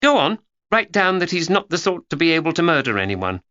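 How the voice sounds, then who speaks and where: A man's voice: fairly high pitch, very animated, fairly quick, bright, forceful tone, no room sound, lo-fi sound, voice pushed up. A man voicing a chattering, know-it-all schoolgirl who lectures and teases. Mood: gossipy. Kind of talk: audiobook character voice.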